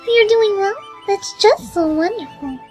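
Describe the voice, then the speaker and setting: A woman voicing a gentle, soft-spoken female pegasus pony with a sweet, tender manner. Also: very high-pitched, expressive, unhurried. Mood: tender, cheerful. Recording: music behind the voice.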